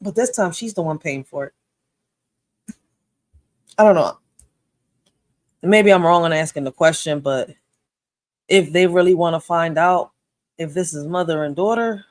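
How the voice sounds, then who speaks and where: A man's voice: fairly high pitch, measured pace, long pauses. A man in his thirties hosting a reaction video, talking casually and bluntly with swearing and rhetorical questions as he thinks aloud. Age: 30s.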